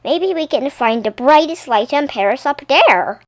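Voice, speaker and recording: A woman's voice: high voice, expressive, unhurried, no echo, studio quality. A woman voicing an eager, curious little girl in a storybook, exclamatory and adventurous. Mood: excited.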